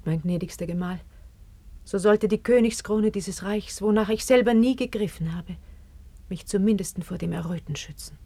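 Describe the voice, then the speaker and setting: A woman's voice: medium pitch, no echo, natural voice. An actress playing a noble, dignified young queen in a classical verse radio play of the 1950s, speaking solemnly and declaiming. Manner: declamatory, hushed and breathy, solemn. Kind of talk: 1950s German stage-style radio acting of classical verse.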